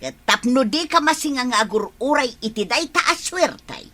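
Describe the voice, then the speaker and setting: A woman's voice: sits high, lively intonation, moderate pace, a bit bright. A woman playing a fed-up, strong-willed village wife in a comedy radio drama, with an Ilocano accent. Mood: defiant.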